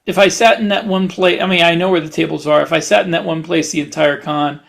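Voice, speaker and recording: A man with a fairly high, gravelly voice talks casually on a podcast. He rambles in a halting way, often doubling back on his words as he goes. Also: steady tone, fairly bright tone. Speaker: podcast host, writer. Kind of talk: casual chat.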